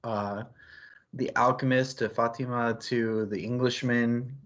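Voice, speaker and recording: A man's voice: mid-range voice, steady tone, unhurried, fairly bright tone, dull audio. A man in a casual book discussion, rambling analytically and qualifying his points as he goes. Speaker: book club stream host.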